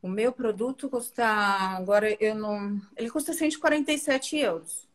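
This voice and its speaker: A woman takes part in a coaching call as a student, answering in short, hesitant bursts. Her voice sits at a middle pitch. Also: normal speed.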